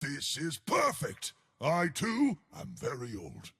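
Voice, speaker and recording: Casting: simple, boastful game giant of stone; gravelly, slow, wildly swooping delivery. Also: male voice, wildly swooping pitch, fairly bright tone, heavily acted. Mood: jolly. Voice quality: gravelly, husky. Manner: slow, hammy, booming delivery. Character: huge jolly stone giant, boastful, simple-minded, eager to fight. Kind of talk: video game character voice lines.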